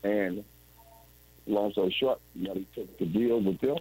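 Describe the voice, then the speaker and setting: A man's voice: fairly low pitch, a little slow, warm tone, slight background noise, poor audio. A man in his forties telling his story as an interview guest over a video call, rambling and restarting often. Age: 40s. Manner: rambling, with frequent restarts and "you know what I'm saying".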